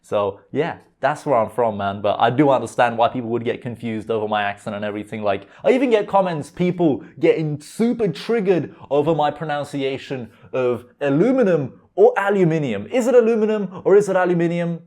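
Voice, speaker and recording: A man of about twenty speaks in a voice pitched a little above average for men. His accent is mostly British, mixed with hints of American and Lithuanian. He talks like a YouTuber answering questions, informal and full of slang and filler words like a chat with mates. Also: lively intonation, fairly quick, few pauses, darkish tone. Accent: mostly British with slight American and Lithuanian tinge. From Lithuania, later moved to the UK. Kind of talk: casual Q&A vlog chat.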